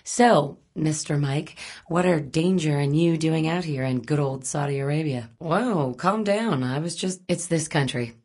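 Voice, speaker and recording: A woman's voice: low voice, a little slow, hardly any pauses, darkish tone, breathy edge. An actress voicing a wry, probing, guarded woman journalist in a spy thriller video game, speaking in a dry, teasing, conversational way. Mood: wry, flirtatious. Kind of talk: naturalistic video game dialogue acting.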